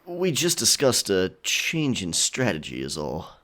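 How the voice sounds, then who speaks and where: A man's voice: deep voice, wildly swooping pitch, a little slow, bright, forceful tone, full character voice. A man playing a cocky, teasing, self-assured sailor in a pirate romance audio drama, with a nonchalant manner. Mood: reassuring. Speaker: voice actor.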